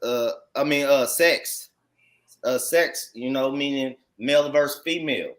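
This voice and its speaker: A man's voice: mid-range voice, a little slow, fairly bright tone. An American man giving informal religious teaching as a congregation teacher, emphatic and repetitive, often checking in with rhetorical tag questions. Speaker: congregation teacher commenting on read text.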